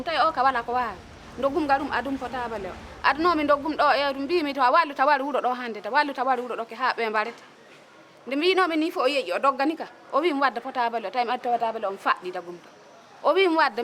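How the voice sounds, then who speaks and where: A woman's voice: high-pitched, fast talker, fairly bright tone, a little hiss or hum. A woman speaks spontaneously as an interviewed witness, recounting in detail. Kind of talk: spontaneous testimony.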